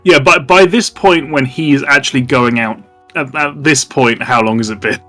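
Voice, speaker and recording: Bright, forceful male tabletop player. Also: medium pitch, normal speed, faint music behind. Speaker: player in a tabletop roleplaying game. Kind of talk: casual in-game discussion.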